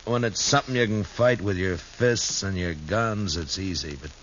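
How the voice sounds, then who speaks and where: A stern, troubled lawman in a vintage radio western. The voice is deep, the delivery blunt and grave. The old recording is dull and noisy. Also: lively intonation, moderate pace, a bit bright. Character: stern, troubled, principled frontier marshal. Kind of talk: 1950s western radio drama acting.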